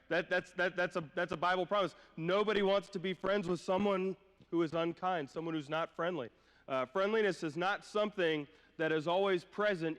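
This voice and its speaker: A man's voice: a little high, a bit bright. Man preaching conversationally in a reverberant hall. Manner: informal, checks in with listeners with rhetorical questions. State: slight cough, voice a little off from a cold. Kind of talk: conversational sermon. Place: church hall during a live-streamed service. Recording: long hall reverb, live church stream.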